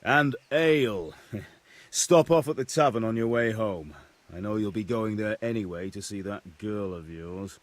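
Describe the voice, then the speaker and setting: A man playing a gruff joking blacksmith father. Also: fairly low pitch, a little slow, no echo, natural voice. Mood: gruff teasing. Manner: blunt, teasing, bossy. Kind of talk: video game cutscene acting.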